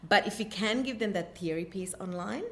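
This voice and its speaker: A woman's voice: mid-range voice, fairly quick, a bit bright. A woman answering interview questions about her work in an enthusiastic, emphatic way. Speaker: interview guest, vocational college representative. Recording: clear background noise, room ambience.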